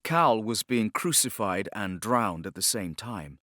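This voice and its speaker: A man's voice: fairly low pitch, animated, unhurried, fairly bright tone, no echo, studio quality. A man narrating a novel as an audiobook, reading scripted prose in a dramatic storytelling style. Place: studio, close and dry.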